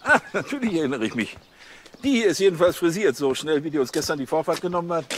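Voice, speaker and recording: A man playing an officious, blustering and slightly slow-witted police officer in a radio play. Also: a little high, lively intonation, a little hiss or hum, natural voice.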